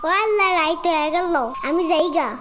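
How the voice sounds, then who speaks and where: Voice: very high-pitched, a little fast, background noise. A performer voicing a timid, superstitious village child in a cartoon, with a rural Bangladeshi dialect. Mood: anxious. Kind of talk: cartoon voice acting. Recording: loud music over the voice.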